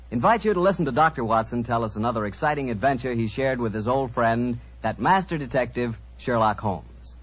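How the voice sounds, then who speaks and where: A man's voice: mid-range voice, slightly rough. A man with an American accent announcing in 1940s radio style, folksy and salesmanlike. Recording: muffled vintage 1940s radio recording.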